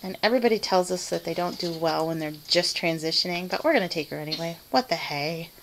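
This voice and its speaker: A woman's voice: on the low side, fast talker, a little hiss or hum, rough recording. A woman from Michigan chatting casually as she films her barn chores, laughing often. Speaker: farm vlogger. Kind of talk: casual narration while doing chores. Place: barn, indoors.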